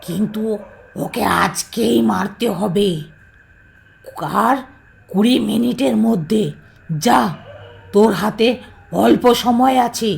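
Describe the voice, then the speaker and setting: A woman playing a wicked, scheming tantric sorceress in a horror audio drama, goading and threatening in slow commands. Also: fairly high pitch, vocal fry, a little mellow, heavily acted. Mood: cold, menacing.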